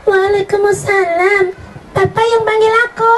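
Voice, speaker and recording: A storyteller voicing a pious, stubborn, innocent little girl in a live children's story, with exclamations and drawn-out words. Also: extremely high pitch, fairly even intonation, background noise, voice pushed up. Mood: cheerful, modest. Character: pious, honest little girl minding a poultry farm.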